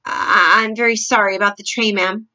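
A woman's voice: mid-range voice, steady tone, normal speed, pushed and bright, highs cut off. A woman voicing an anxious, deferential middle-aged maid for a storybook. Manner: deferential, fretful.

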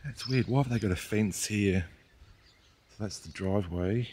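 A man's voice: fairly low pitch, normal speed. A man with an Australian accent hosting a vlog, chatting casually to viewers while he explores the countryside outdoors, with wind on the camera mic. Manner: relaxed, chatty, talks to viewers as he goes. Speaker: vlog host exploring abandoned places. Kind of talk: casual walk-through narration. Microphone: camera mic, wind noise.

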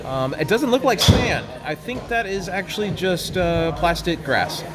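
A man's voice: sits high, lively intonation, measured pace. A man in his thirties co-hosting a golf vlog, giving playful commentary with mock sportscaster asides in an indoor golf simulator bay.

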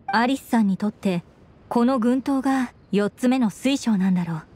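Woman voicing a mellow, composed young companion. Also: fairly high pitch, close to an everyday voice. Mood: calm, reflective. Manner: composed, reflective, measured. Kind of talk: Japanese anime game voice acting.

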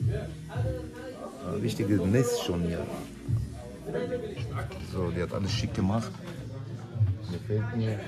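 A man's voice: fairly low pitch, wildly swooping pitch, takes it slowly, pauses often, loud music behind the voice. A man in his thirties vlogging casually to a handheld camera, chatty and joking with his viewers. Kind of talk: casual vlog narration to camera. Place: hair salon and city street. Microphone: handheld camera at arm's length.

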